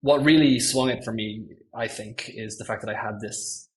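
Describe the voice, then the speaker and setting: A man's voice: lowish voice, moderate pace, low-quality recording. A man in his thirties with an Irish accent, chatting as a podcast guest, rambling with lots of ums and self-corrections. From Ireland. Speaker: guest, machine learning engineer. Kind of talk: casual podcast chat.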